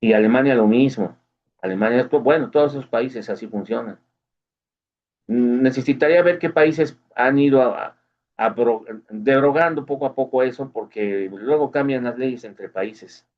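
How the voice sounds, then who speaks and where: A man's voice: mid-range voice, normal speed. A man giving a casual explanatory monologue as host, often checking in with the listener. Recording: dull lo-fi recording.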